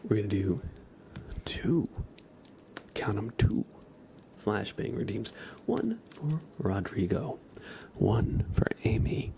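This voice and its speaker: A man doing ASMR on a live stream in a breathy, hushed whisper, speaking gently and softly. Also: lowish voice, sing-song, slow. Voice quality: breathy whisper with soft-spoken stretches. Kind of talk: ASMR, whispered relaxation and guided imagery.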